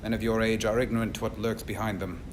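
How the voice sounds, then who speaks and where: A man playing a towering, stern, condescending keeper of dark secrets, haughty and portentous, in a sci-fi radio drama. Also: fairly low pitch, flat delivery, fast, slight background noise, lightly acted. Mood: ominous.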